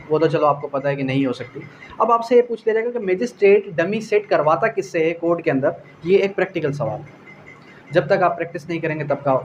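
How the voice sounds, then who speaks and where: Male law lecturer, Pakistani accent, high-pitched, didactic question-and-answer delivery, slight background noise. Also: rough recording. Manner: code-switching between Urdu and English legal terms. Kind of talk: explanatory exam-prep lecture.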